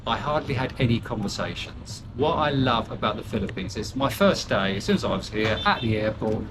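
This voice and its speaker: An older man with a British accent talks as an interview guest, candid and a bit self-deprecating. He wanders through his answers with plenty of fillers and restarts in a middle-pitched voice. A clear layer of ambient noise sits under the recording. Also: expressive, a little fast. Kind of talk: casual chat.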